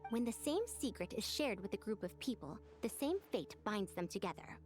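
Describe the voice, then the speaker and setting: A woman's voice: mid-range voice, animated, normal speed, soft background music, natural voice. A woman voicing a proud, self-assured female scholar in a video game, speaking in a boastful, lecturing manner.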